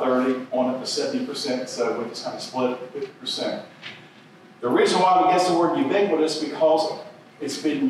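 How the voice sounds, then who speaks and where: A man's voice: medium pitch, slight background noise. Older man lecturing in an echoey hall. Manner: rambling, repeats words, chuckles at own stories. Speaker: seminar presenter. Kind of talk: conference lecture. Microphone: podium microphone. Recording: echoey hall, heard from a distance.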